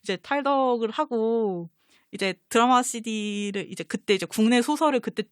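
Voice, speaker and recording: A woman telling fan stories in casual chat, animated and dropping in quoted asides. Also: a little high, normal speed, no echo, studio quality. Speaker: guest.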